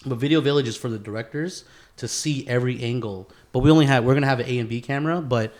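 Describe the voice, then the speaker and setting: Man, podcast host, casual chat, informal and slangy, swears freely, medium pitch. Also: fast talker, a little mellow. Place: garage.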